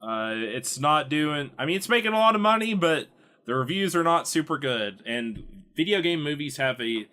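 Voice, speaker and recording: A man's voice: on the high side, expressive, normal speed, pushed and bright. A man hosting a casual podcast chat, often chuckling as he talks. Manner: chuckles often while talking. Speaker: podcast host.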